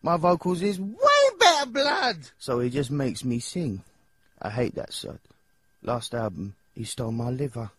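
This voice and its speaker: A nervous, childlike young English singer from a cartoon game. His voice is husky and swoops up and down as he rambles in slang, hesitant and a little dim. The recording is close and dry. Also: medium pitch, wildly swooping pitch, measured pace, fairly bright tone, no echo, full character voice. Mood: aggrieved. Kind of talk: video game character dialogue.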